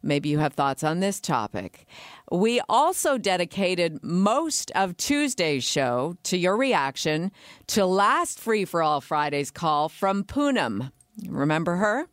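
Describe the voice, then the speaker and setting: A woman's voice: on the low side, big pitch swings, slow, no echo, clean studio sound. A woman with a Canadian accent presenting a radio phone-in as its host. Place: radio studio.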